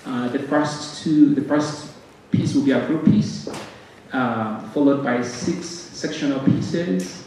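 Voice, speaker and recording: A man with a Ugandan accent giving a halting welcome speech as director of a music ensemble, with frequent pauses and repeated words. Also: mid-range voice, dark tone. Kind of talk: welcome speech to a concert audience. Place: concert stage in a hall. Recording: very echoey hall, distant mic, faint noise.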